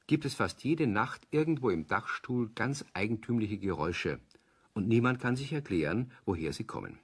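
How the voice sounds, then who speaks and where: A man narrating a scripted German children's radio play as its storyteller, with a husky voice. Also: lowish voice, full character voice.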